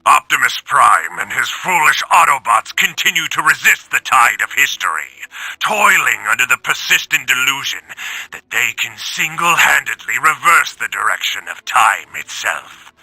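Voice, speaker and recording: Gravelly, pompous robot villain. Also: male voice, mid-range voice, sing-song, normal speed, bright, forceful tone, dry recording, heavily acted, poor audio. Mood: scornful, triumphant. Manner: grandiose, declamatory. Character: pompous, oratorical male villain robot propagandist. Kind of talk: dramatic video game audio log.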